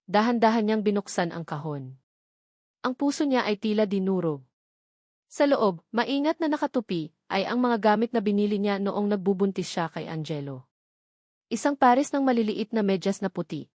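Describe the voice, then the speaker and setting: A woman's voice: medium pitch, expressive, normal speed, pauses often, a little mellow, dry recording, clean studio sound. A woman reading a scripted romantic drama as a story narrator. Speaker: narrator of audio love stories.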